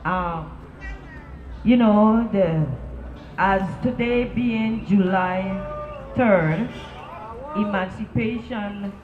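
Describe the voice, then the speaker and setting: Slow, halting woman addressing a gathering. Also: medium pitch. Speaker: speaker at a commemoration gathering. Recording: dull echoey room, faint noise.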